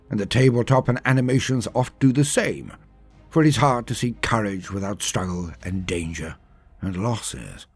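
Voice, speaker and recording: A man's voice: mid-range voice, a little mellow, breathy edge. A man narrating a scripted story in a dramatic, theatrical and emphatic manner. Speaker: storyteller and lore channel host.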